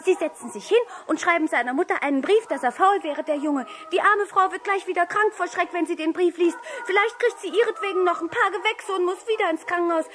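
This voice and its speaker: Very high-voiced, outspoken little girl. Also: a little fast, few pauses. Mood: indignant, emphatic. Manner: emphatic, lecturing, rhetorical questions. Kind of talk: German radio play acting, 1960s.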